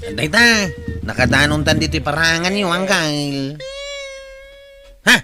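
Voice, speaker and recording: A man's voice: fairly high pitch, measured pace, close to an everyday voice. A man acting as a blunt but apologetic young nephew in a comedy drama, hesitant and full of exclamations. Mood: concerned, teasing.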